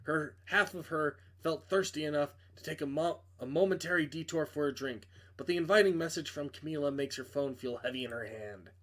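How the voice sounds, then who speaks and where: A man's voice: a little high, brisk, few pauses, fairly bright tone. A man reading a visual novel aloud as a dramatic narrator, sometimes stumbling over words. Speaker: reader playing a visual novel.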